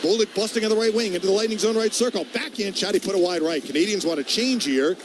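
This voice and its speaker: A man's voice: high-pitched, quick pace, noisy background. A man doing live radio play-by-play of ice hockey in short, clipped running calls.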